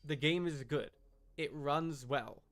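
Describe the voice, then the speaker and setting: A man with a slightly high, bright and forceful voice talks as a game streamer. He chats casually while playing, arguing his opinions with emphasis. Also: slow.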